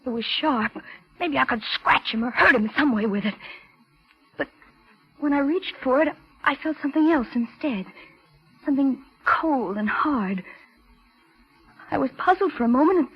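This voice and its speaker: Desperate young woman, high voice, breathless, halting, vintage radio thriller, dull recording. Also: expressive, husky, exaggerated voice. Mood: tense, hushed dread. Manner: breathless, halting, repeats words. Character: frightened, desperate young woman held by a killer. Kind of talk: 1940s radio suspense acting, first-person narration and dialogue. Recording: muffled old radio recording, music behind.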